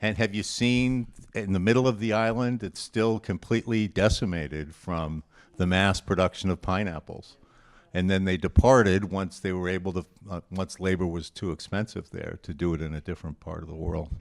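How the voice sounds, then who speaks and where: A man presenting a quote quiz, reading quotations aloud and chatting informally with a group in a deliberate, conversational way. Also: low voice, fairly even intonation, gravelly.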